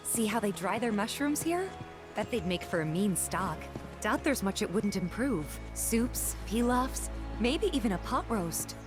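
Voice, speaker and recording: A woman's voice: medium pitch, expressive, a little slow, close to an everyday voice. A woman voicing a friendly, chatty young female companion in a video game, with music playing. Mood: chatty, upbeat. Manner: chatty, playful. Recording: game audio under loud music.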